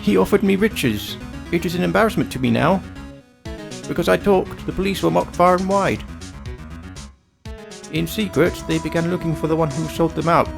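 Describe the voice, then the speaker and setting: A man's voice: on the high side, moderate pace, creaky, long pauses, music in the background. A man playing an older lawyer in a voiced game drama, grave and regretful as he confesses. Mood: ashamed, grave.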